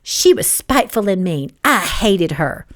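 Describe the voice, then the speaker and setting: A woman's voice: medium pitch, very animated, unhurried, a bit bright. A woman voicing a haughty, spiteful young woman in an audiobook, with a snappy, condescending delivery. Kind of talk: audiobook character dialogue.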